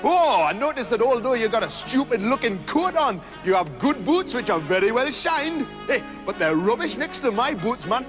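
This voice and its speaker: A man's voice: extremely high pitch, very animated, normal speed, hardly any pauses, long reverb tail, over music, pitched above the performer's own voice. A man voicing a swaggering, bullying brute. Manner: swaggering, rude and cheerfully menacing. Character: boastful brutish rude male brute who loves bullying. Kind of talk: storybook reading.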